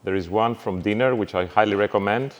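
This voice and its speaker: A middle-aged man giving a university lecture, halting, with frequent fillers and restarts. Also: lowish voice. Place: lecture hall. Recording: reverberant lecture hall.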